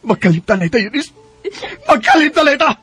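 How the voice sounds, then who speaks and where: A man's voice: very high voice, big pitch swings, quick pace, a bit bright, slightly rough, exaggerated voice. A man with a Cebuano accent playing a wounded, indignant grown son in a Bisaya radio drama. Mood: hurt, accusing. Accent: Cebuano Filipino.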